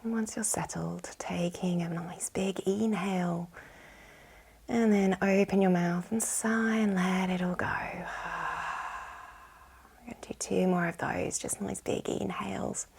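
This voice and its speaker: A woman with a soft, breathy, whispery voice, soothingly guiding a yoga nidra relaxation with gentle instructions. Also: medium pitch, steady tone, unhurried. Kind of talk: guided relaxation meditation.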